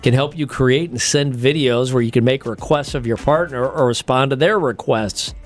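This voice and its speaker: A man's voice: medium pitch, moderate pace, faint music behind. A man co-hosting a casual comedy podcast, reading out tips and facts with wry, offhand asides and casual swearing.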